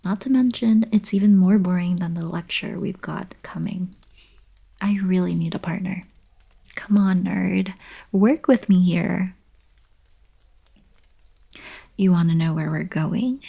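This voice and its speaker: A young woman playing a friendly, eager alien princess in an ASMR roleplay, soft and whispery, with casual girlish coaxing. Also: lowish voice, measured pace, gravelly, slightly rough, exaggerated voice. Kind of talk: ASMR roleplay, intimate acted monologue.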